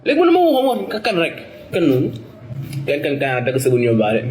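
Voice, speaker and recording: A man playing an exasperated, argumentative character in comic dialogue, excitable and repetitive. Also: a little high, quick pace. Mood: heated. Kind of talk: animated comic dialogue in Wolof and French.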